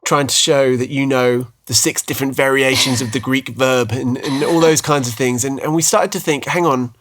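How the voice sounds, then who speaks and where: A British man in his thirties talks easily with his wife on a podcast, with a middling pitch. He tells his story in a chatty way, often stumbling into small restarts, and jokes at his own expense. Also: steady tone, moderate pace, fairly bright tone. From United Kingdom. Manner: chatty, self-deprecating, frequent restarts. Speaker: podcast co-host. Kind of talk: casual faith podcast chat.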